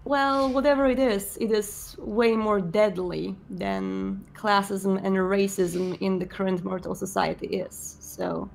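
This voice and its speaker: A woman playing a composed, commanding male vampire prince in a tabletop game, speaking in a measured, formal way. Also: mid-range voice, steady tone, vocal fry, a little hiss or hum. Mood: stern, measured.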